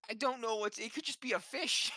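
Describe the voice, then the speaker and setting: A man's voice: extremely high pitch, brisk, pushed and bright, dry recording, clean studio sound. A man chatting casually as a player in a tabletop game, hesitant and joking. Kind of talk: casual chat.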